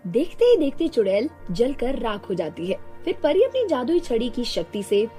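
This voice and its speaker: Animated, high-voiced woman narrating a story. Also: moderate pace, faint music behind. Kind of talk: scripted cartoon story narration.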